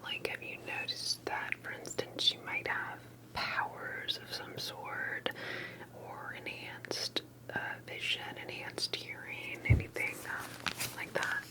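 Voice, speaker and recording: A woman whispers in a breathy, unvoiced voice, as in an ASMR roleplay. She plays an interviewer, asking things gently and hesitantly. Her phrases come with small pauses between them. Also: rough recording.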